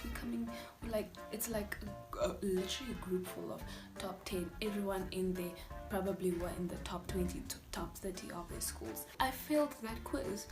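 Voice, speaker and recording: A South African woman in her twenties, a native Afrikaans speaker, telling her student story in a chatty, animated way with breathy asides. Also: mid-range voice. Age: 20s. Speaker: vlogger, university student. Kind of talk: candid personal storytelling vlog.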